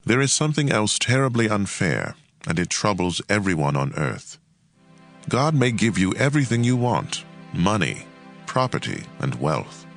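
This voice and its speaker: A man narrating scripture as a dramatized audiobook, in a measured, expressive and emphatic delivery. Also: lowish voice, vocal fry, faint music behind.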